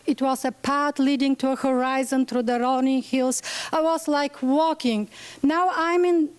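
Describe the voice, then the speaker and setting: Woman, Bulgarian accent, native Bulgarian, museum director, earnest symposium presentation, high voice. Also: darkish tone. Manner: earnest, searching for words.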